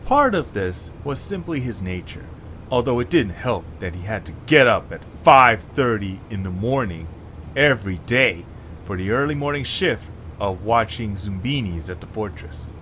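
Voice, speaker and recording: A man's voice: lowish voice, animated, normal speed, a bit bright, slight background noise. A man reading scripted storytelling narration for an audio drama.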